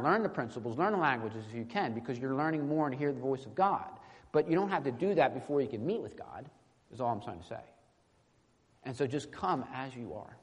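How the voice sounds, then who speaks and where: A man preaching an informal, teaching-style sermon in a halting, conversational way, often checking in with short tag questions. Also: mid-range voice. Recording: echoey hall with long reverb.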